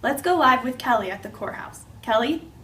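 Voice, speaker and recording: Woman as high-voiced news anchor, distant mic. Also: lively intonation, normal speed, sharp tone. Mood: presenting. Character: female TV news anchor in a newsroom. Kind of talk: amateur mock newscast, scripted. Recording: distant mic with faint noise.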